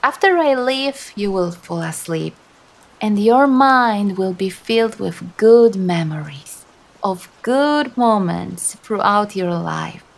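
A woman voicing a teasing but sympathetic female demon in an intimate ASMR roleplay, coaxing softly with dramatic hesitations. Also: medium pitch, lively intonation, slow, vocal fry. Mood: gentle, consoling.

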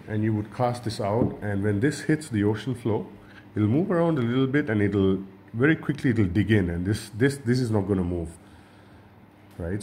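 A man from Sri Lanka, a fishing channel host, giving a relaxed hands-on tutorial at a table and often repeating and restarting his words. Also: lowish voice, slight background noise.

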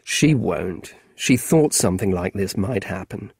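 A man reading aloud, voicing a gentle father who speaks low, calm and soft. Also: a little slow, husky, dry recording, exaggerated voice. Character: exasperated but gentle father.

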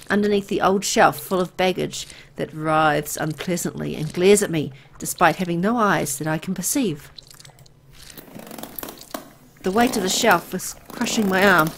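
A woman voicing an anxious, disoriented amnesiac young woman who narrates a horror visual novel in a dramatic, deliberate way. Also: medium pitch, a bit bright.

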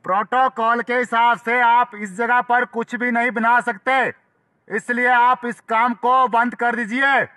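A man's voice: very high-pitched, flat delivery, a little slow, sharp tone. A man making a stern, insistent army warning announcement through a megaphone outdoors in the mountains. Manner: stern, insistent, repeats himself. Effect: amplified through a megaphone.

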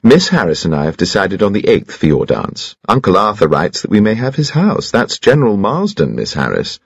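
A man's voice: on the low side, sing-song, normal speed, gravelly, breathy edge, no echo, lo-fi sound, pitched above the performer's own voice, heavily acted. A man voicing a weary, genteel society mother. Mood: gentle. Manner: soft, affectionate and tired.

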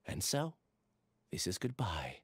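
A man voicing a resigned researcher in an anime video game, speaking in a measured, formal, solemn way. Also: low voice, sing-song, a bit bright, a little hiss or hum, heavily acted. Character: soft-spoken, resigned male researcher confessing his deception.

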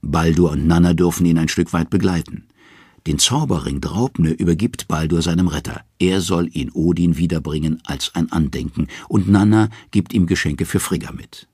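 A man's voice: low-pitched, normal speed, dark tone, husky, no echo, clean studio sound. A man narrating mythic tales as a storyteller, in a scripted, dramatic audiobook style. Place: dry studio.

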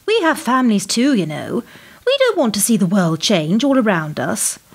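An actress playing a cool, menacing establishment power broker in a radio play, with a sardonic, condescending and rhetorical delivery. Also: female voice, mid-range voice, big pitch swings, normal speed, husky. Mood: pressing, condescending.

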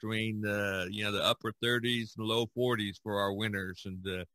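An American man hosting a casual podcast chat, speaking in halting, hesitant phrases. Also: lowish voice, steady tone, sharp tone, dry recording. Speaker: podcast host.

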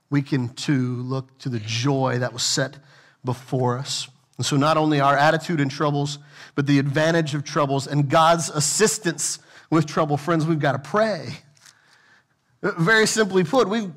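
High-voiced preacher pacing the stage. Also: male voice. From United States. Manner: earnest preaching, swings between soft low asides and raised emphatic lines. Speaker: senior pastor. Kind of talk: sermon. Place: large church sanctuary with stage.

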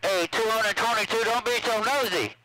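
A man's voice: high-pitched, moderate pace, heavy background noise. A man from Mississippi chatting casually as a CB radio operator, folksy, repeating himself in radio slang. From Mississippi, USA.

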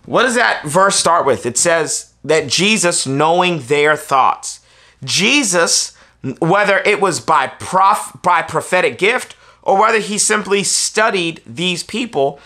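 A man's voice: high-pitched, lively intonation, takes it slowly, pushed and bright. An American man in his thirties, a preacher giving an instructional talk in an emphatic, persuasive manner, repeating key phrases. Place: small furnished indoor room, seated on a sofa.

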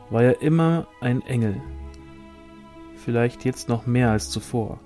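A mellow male voice of middling pitch reads a fantasy novel aloud. His delivery is measured, like a storyteller's, and faint music plays behind him. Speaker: audiobook reader. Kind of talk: scripted narration of a fantasy novel.

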